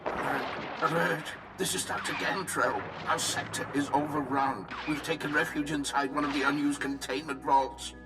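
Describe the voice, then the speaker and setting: An actor playing a besieged scientist urgently sending a distress call in a video game. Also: male voice, a little high, fairly even intonation, normal speed, close to an everyday voice. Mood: alarmed. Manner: alarmed, urgent. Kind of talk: scripted video game acting. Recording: distant transmission, music behind.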